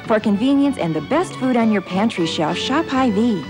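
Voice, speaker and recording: A woman's voice: a little high, lively intonation, moderate pace. A woman announcer reading a scripted retail commercial in an itemised, instructional style. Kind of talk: scripted grocery advertisement voice-over. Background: music.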